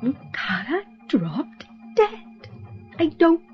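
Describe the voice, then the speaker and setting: An actress playing an anxious wife in a radio drama, earnest, dramatic and halting as she speaks. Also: sits high, huge pitch swings, soft background music, exaggerated voice. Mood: grave, haunted. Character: anxious, distressed wife recounting an African curse. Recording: muffled lo-fi radio drama recording.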